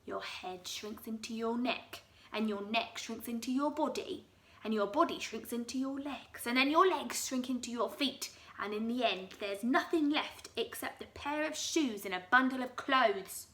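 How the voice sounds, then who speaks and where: A woman's voice: on the high side, moderate pace, a bit bright, close to an everyday voice. A woman voicing a mean, spiteful old man who taunts and gloats, reading a storybook aloud. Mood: sinister. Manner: sneering, taunting. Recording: echoey room.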